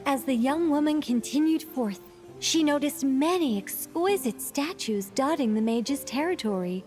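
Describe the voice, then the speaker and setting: A woman's voice: high-pitched, lively intonation, measured pace, darkish tone, faint music behind. An actress playing a theatrical, earnest young woman storyteller in a video game, formal in diction and fussy about her tale. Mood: dramatic narrating.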